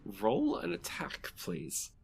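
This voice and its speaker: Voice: mid-range voice, wildly swooping pitch, takes it slowly, creaky, darkish tone, breathy edge, soft background music. A game master running a tabletop role-playing game, narrating scenes and calling for rolls in a conversational way.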